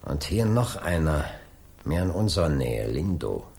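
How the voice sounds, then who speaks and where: A man's voice: rumbling low, sing-song, unhurried, darkish tone, full character voice. A man playing a middle-aged police inspector in a 1980s German radio drama, authoritative and dryly sardonic. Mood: dry, probing. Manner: commanding, dry, sardonic. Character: brisk, authoritative male police inspector leading a murder case.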